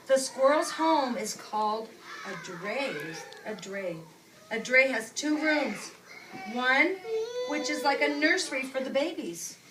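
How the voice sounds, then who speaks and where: A woman preschool teacher reading aloud to young children, using simple instructive phrasing, repeating key words and pausing for questions and asides. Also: sits high, lively intonation. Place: indoor classroom with young children. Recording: echoey room, distant mic, noisy background.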